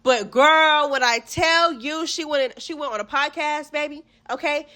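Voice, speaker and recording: A woman with a stuffy nose from a cold hosting a casual gossip recap, chatty and animated, full of slang. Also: high voice, moderate pace. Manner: chatty, animated, slangy, calls listeners girl and baby. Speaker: host of a reality TV gossip channel.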